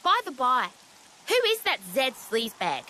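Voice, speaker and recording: A cheeky fantasy game sidekick. The voice is high, very lively and bright, with brash informal delivery. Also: female voice, moderate pace, slight background noise, heavily acted. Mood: brash, curious. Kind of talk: animated video game character acting.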